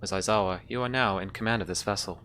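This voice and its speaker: A man voicing an emotionless ship's computer in a tabletop roleplaying game, speaking in measured, impersonal phrases. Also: lowish voice, sharp tone. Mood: flat, informative. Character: formal, emotionless ship's computer called Mother. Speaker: game master.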